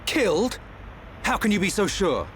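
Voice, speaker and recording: Proud male prince, slightly high voice, clipped, imperious, formal, game cutscene, faint background noise. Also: very animated, moderate pace, fairly bright tone. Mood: skeptical, troubled. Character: haughty, dignified male crown prince. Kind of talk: video game cutscene acting.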